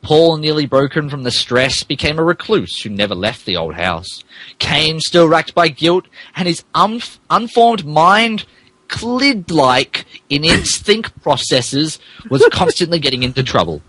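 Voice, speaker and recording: A man's voice: on the high side, sing-song, unhurried, a bit bright, rough recording. A man reading fan fiction aloud as a podcast host, in mock-dramatic narration broken by amused asides. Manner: theatrical, breaks character to comment.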